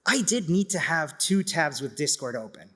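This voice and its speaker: A man's voice: a little high, sing-song, normal speed, bright, forceful tone, slightly rough. A middle-aged man from Chile giving an informal conference talk in an enthusiastic, self-deprecating way, with conversational asides. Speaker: conference speaker, community organiser.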